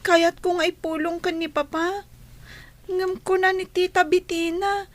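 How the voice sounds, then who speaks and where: Casting: timid, dutiful schoolgirl for radio play; tiny, very high, plaintive voice. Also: flat delivery, unhurried. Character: meek, put-upon schoolgirl, dutiful and timid. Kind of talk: radio drama acting.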